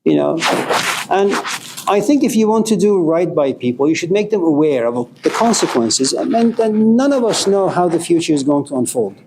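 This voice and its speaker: A man's voice: a little high, sing-song, moderate pace, background noise. A middle-aged man from Iran gives an informal philosophy lecture, passionate and emphatic, swearing at times.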